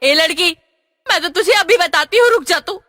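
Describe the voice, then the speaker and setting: A woman's voice: lively intonation, normal speed, pushed and bright, no echo, studio quality, heavily acted. A woman voicing an angry, scolding middle-aged auntie in a cartoon story. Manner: shrill scolding, harsh.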